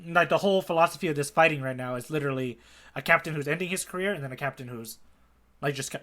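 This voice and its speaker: A man with a bright, forward voice pitched a little high chats as a podcast co-host. He is inquisitive and conversational, often tagging his points with questions. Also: quick pace. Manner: inquisitive, prompting with questions and tag checks. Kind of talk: casual chat, manga readalong discussion.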